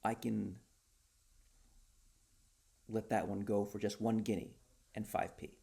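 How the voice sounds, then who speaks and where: A man's voice: on the low side, level tone, slow pace, vocal fry, warm tone, breathy edge, full character voice. A man voicing a cocky, sly inventor who sells his wares in a story, speaking proudly and theatrically. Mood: sly bargaining. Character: cocky, sly male word inventor and seller.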